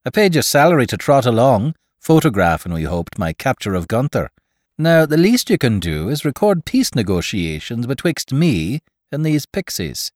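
A man's voice: medium pitch, very animated, moderate pace, dry recording, clean studio sound, pitched above the performer's own voice, lightly acted. A man voicing a big, burly, joking adventurer with a boisterous, bantering manner in a dramatised story. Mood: playfully dramatic. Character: big burly Irishman with a gun, joking, blustering in danger. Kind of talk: dramatised audiobook character voice.

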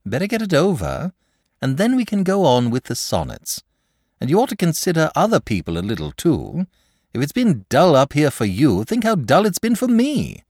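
A man voicing an eager, excitable young village boy who pleads breathlessly in a storybook reading. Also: fairly high pitch, normal speed, no room sound, clean studio sound, voice pushed up, exaggerated voice. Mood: pleading.

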